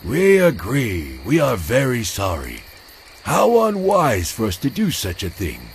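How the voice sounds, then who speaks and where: A man's voice: mid-range voice, huge pitch swings, measured pace, a little hiss or hum, pitched above the performer's own voice. Man doing a solemn wise old turtle. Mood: solemn, remorseful. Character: wise old male turtle elder, gravely apologetic.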